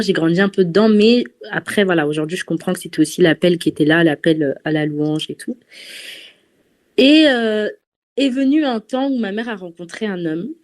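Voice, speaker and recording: A woman's voice: low voice, darkish tone. Hesitant woman giving a church testimony. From France. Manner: hesitant, many fillers and restarts. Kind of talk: personal testimony to a congregation.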